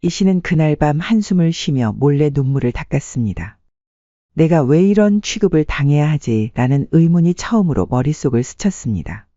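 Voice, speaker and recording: Male audiobook narrator for domestic stories: mellow, slightly high register, measured storytelling. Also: expressive, dry recording, lo-fi sound.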